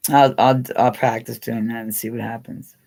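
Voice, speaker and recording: A woman's voice: extremely low pitch, little pitch movement, normal speed, creaky, darkish tone. A middle-aged American woman hosting a casual live chat, rambling, laughing often and calling out to her viewers by name. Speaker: livestream host.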